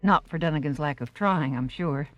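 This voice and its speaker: A woman's voice: sits low, normal speed, no room sound, muffled recording, close to an everyday voice. An American actress playing a worldly, wisecracking stage woman in a radio drama, tart and blunt. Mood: indignant, tart. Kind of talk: 1980s radio drama acting.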